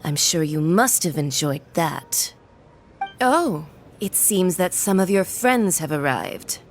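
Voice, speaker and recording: A haughty, mocking villainess from a video game. Her voice is on the low side and very slow, savouring each condescending word. Faint ambient noise sits behind her. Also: expressive. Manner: condescending, taunting, unhurried. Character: haughty, mocking villainess, cold and commanding adult woman. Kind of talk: video game character dialogue.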